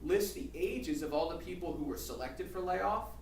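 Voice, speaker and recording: A man with a slightly high voice walks a seminar audience through the law in a loose, halting way. He is picked up from a distance in a roomy space, with faint noise behind him. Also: a little mellow. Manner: conversational, halting, many restarts and fillers. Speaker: employment lawyer presenting a seminar. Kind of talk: seminar talk with a co-presenter. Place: seminar room.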